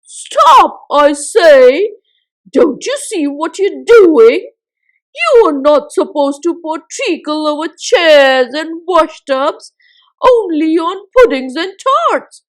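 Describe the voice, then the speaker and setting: A woman voicing a mean, thieving little man who shouts and wails in panic while reading a storybook aloud. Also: high voice, sing-song, drawn-out words, full character voice, rough recording. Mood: angry alarm. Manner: loud, frantic, wailing.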